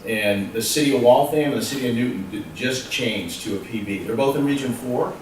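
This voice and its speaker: A man's voice: mid-range voice, darkish tone, slight background noise. A man explaining emergency services rules at a public meeting. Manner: explanatory, rapid. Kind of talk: meeting debate. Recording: echoey room from far off.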